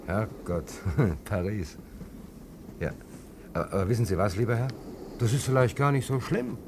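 An actor playing a flustered, evasive fare dodger in a radio play, stammering and repeating himself ingratiatingly. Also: male voice, lowish voice, animated, normal speed, noisy background. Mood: feigned surprise. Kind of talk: German radio play acting.

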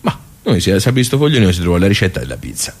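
An actor playing a chatty, nostalgic village social club regular with a Tuscan accent, telling stories in a rambling, folksy radio theatre monologue. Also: male voice, low-pitched, very animated, rapid-fire, husky, heavily acted. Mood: nostalgic, wry.

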